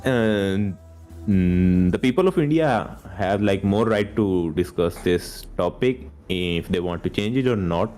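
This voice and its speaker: A man with a fairly low voice talks through a casual live stream, explaining points step by step and leaning on like and basically. English is not his first language. Faint music sits underneath. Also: expressive, a little mellow. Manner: measured, explains with many likes and basically. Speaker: livestream co-host.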